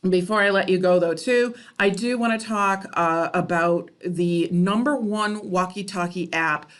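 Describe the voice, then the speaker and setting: A woman's voice: on the low side, a little slow. A woman presenting an informal screen-share tutorial in a conversational, explanatory way, often checking in with the listener. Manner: conversational, explanatory, checks in with okay and all right. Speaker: presenter of a library technology tutorial.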